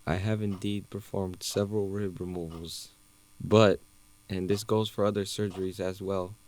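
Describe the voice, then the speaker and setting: A man acts as a plastic surgeon in an audio drama. His voice sits fairly low. He talks in a measured, formal manner, showing little emotion. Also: flat delivery, darkish tone, natural voice. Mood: detached, formal.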